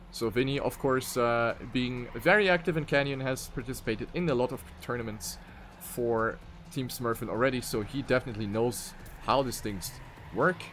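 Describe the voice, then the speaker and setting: A man casting an online racing game tournament live, giving play-by-play that jumps up on crashes and mistakes. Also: medium pitch. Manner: excitable play-by-play, rising sharply on crashes. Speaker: esports caster.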